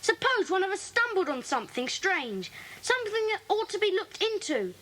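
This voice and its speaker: A woman's voice: very high voice, sing-song, moderate pace, fairly bright tone, heavily acted. A woman playing an earnest, eager child in a secret club for a children's audio drama, insistent and pleading. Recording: faintly noisy old cassette.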